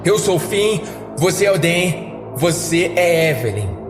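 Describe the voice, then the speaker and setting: A man's voice: on the high side, big pitch swings, a little slow, roomy sound, big-room sound, over music, pitched above the performer's own voice. A man voicing a stern, irritable man who takes charge after a body swap in an audio horror story. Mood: angry, exasperated. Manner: gruff, impatient, bossy.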